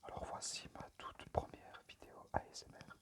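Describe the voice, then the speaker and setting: A man speaking in a breathy, unvoiced whisper into a phone microphone, presenting informally in ASMR style with a hesitant, unpractised manner. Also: moderate pace. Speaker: amateur ASMR creator and game collector. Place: at a desk indoors.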